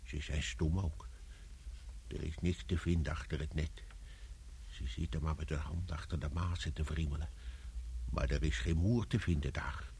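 A dour, cynical labourer in a radio play, with an extremely deep voice. He answers in short, resigned phrases and does not get worked up. There is light noise on the recording. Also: normal speed, pauses often. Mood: dour, dismissive. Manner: laconic, flat, resigned. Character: gloomy, stoical, cynical male labourer. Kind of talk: radio drama acting.

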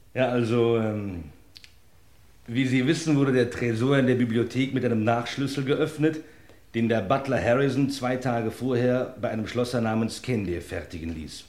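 A man plays a police inspector in a radio crime play, in an echoing room. His mid-pitched voice is official, methodical and matter-of-fact. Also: unhurried, pauses often, a little mellow, natural voice. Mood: explanatory.

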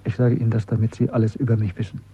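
An actor playing an earnest, cautious male clerk in a radio drama, with a husky voice and a reserved, correct way of speaking. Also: lowish voice, flat delivery, racing, dry recording, dull audio. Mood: tense, confessional. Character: earnest, cautious low-ranking party clerk, secretly rebellious man.

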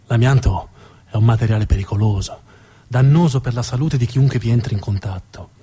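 Husky man telling a dramatic theatre story. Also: medium pitch, warm tone. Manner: deliberate, emphatic delivery with dramatic pauses. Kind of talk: dramatic scripted stage narration.

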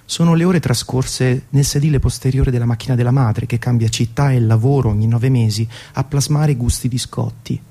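A man tells a scripted story as a radio presenter. His voice is mellow and soft-edged, sitting at an ordinary male pitch. The delivery is that of a narrator guiding listeners through music. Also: brisk, hardly any pauses.

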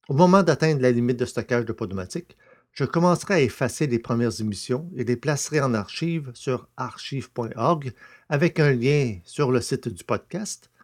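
A man presenting scripted podcast announcements as the host. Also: medium pitch.

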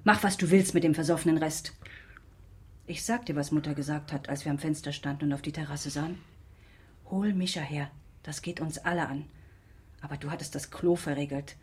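An actress playing an anguished, reproachful sister in a radio drama, with a husky, breathy voice and a strained, grave manner. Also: female voice, sits low, fairly quick. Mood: angry, accusing.

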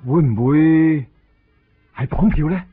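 A man's voice: on the high side, slow, slightly rough. A man acting a cheeky, meddling stranger in a Hong Kong Cantonese radio drama. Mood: exclaiming. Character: cheeky, possessive male stranger meddling in others' trouble. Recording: muffled radio recording, faint music.

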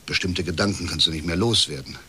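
An actor playing a bitter, middle-aged male official confiding as a whistleblower in a radio crime drama, with a husky voice and measured delivery. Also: sits low, pushed and bright, heavily acted. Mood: weary, confiding. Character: disillusioned, chain-smoking male privatisation official, uneasy whistleblower.

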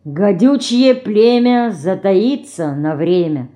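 A woman voicing a thoughtful, good-natured teenage boy with a measured, conciliatory manner in a storybook reading. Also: mid-range voice, animated, darkish tone, close to an everyday voice.

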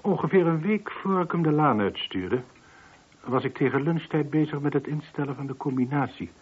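A man's voice: a little high, moderate pace, husky. An actor playing a weary, defensive man nearing sixty in a Dutch radio play, explaining himself hesitantly. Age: late 50s. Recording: muffled, band-limited old radio recording.

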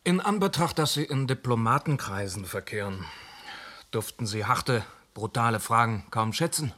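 An actor playing a sharp, sarcastic police inspector who presses hard in a radio drama. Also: male voice, mid-range voice, lively intonation, normal speed. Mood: pressing. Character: aggressive, blunt male police inspector. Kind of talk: German radio drama acting.

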